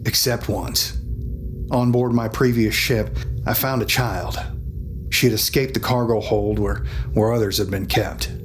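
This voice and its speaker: An actor playing an older, haunted alien officer in a science fiction audio drama, speaking haltingly with sighs, music underneath. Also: male voice, fairly low pitch, fairly even intonation, close to an everyday voice. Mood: weary, guilt-ridden. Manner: halting, sighing, confessional. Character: gruff, haunted grandfather alien cowboy officer with stone-plated skin.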